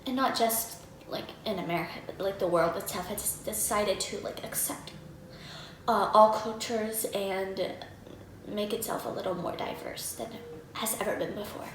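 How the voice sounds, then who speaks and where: A woman's voice: mid-range voice, moderate pace. A teenage girl giving casual reaction commentary at home, chatty with many fillers. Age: teens. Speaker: vlogger reacting to a video. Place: home room, indoors. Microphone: desk mic in front of her. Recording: roomy echo with faint background hum.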